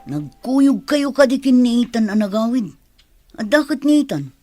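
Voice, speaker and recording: A woman's voice: a little high, sing-song, normal speed, warm tone, soft background music. A woman playing a fretful, disapproving village mother in an Ilocano radio drama. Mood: worried, disapproving.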